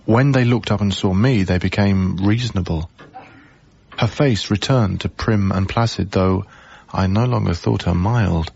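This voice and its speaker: Low-voiced, wry young male lodger. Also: measured pace, vocal fry, a little mellow, lo-fi sound, a little hiss or hum. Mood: reflective, wry. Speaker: actor narrating and playing the lodger. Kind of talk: radio drama first-person narration and dialogue.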